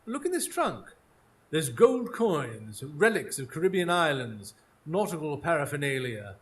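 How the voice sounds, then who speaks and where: An actor playing a shrewd Victorian gentleman detective in a live radio drama, speaking in formal, articulate deductions. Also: a little high, big pitch swings, unhurried. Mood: intense, brooding. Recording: noisy live stage recording.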